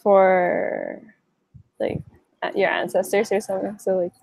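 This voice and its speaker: Husky-low young woman, rambling answers. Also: level tone, unhurried, a little mellow, no echo. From Hawaii. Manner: rambling, many likes and restarts. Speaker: interviewee. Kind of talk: casual interview.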